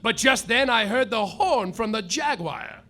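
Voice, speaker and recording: An actor playing a melodramatic, heartbroken private detective, declaiming a parody noir story in a live comedy radio play. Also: male voice, high-pitched, wildly swooping pitch, unhurried, creaky, exaggerated voice. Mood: urgent. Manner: overwrought, declamatory. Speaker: lead actor and narrator.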